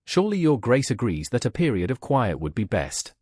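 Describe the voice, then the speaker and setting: A man voicing a controlling older Regency gentleman in an audiobook, formal, condescending and commanding. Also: medium pitch, big pitch swings, a little fast, slightly rough, no room sound, studio quality.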